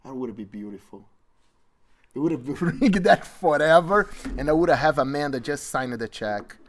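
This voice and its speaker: A man's voice: on the high side, lively intonation, moderate pace. A middle-aged man from Brazil with a Brazilian Portuguese accent, a jiu-jitsu instructor chatting playfully in broken English. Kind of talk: casual chat.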